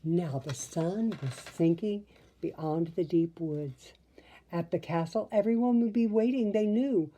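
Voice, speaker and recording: A woman's voice: lowish voice, a little slow, dark tone, poor audio. A woman reading a children's story expressively, with chatty asides to her listeners. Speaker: storybook reader.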